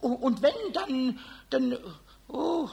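A man voicing a cheeky, indignant, childlike little male kobold in a squeaky voice for a children's radio play. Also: slow, vocal fry, darkish tone, exaggerated voice. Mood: pleading, chattering. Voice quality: squeaky, high-pitched. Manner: stuttering repetitions, childish protesting. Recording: noisy 1970s radio play recording.

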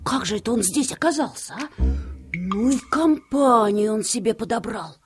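A woman playing a clever, lively young boy inventor who wonders aloud and exclaims, in a children's musical audio play. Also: fairly high pitch, moderate pace, over music, close to an everyday voice. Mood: surprised.

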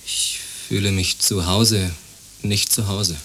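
An actor playing a gloomy, henpecked married man in a radio drama, weary of home, halting and sighing. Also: fairly low pitch, fairly even intonation, slight background noise. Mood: apologetic. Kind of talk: radio play dialogue. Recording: loud music behind the voice.

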